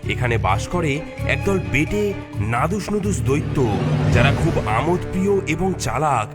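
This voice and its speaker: High, animated male storyteller. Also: a little slow. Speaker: narrator. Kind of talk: dramatic scripted cartoon story narration. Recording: loud music mixed under the narration.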